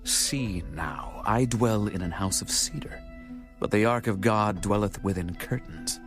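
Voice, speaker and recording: A devout ancient king, low in pitch and humble in bearing. He speaks with earnest, measured reverence in a Bible drama, over soft music. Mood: thoughtful. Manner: earnest, measured, formal prayer. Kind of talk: dramatized scripture reading.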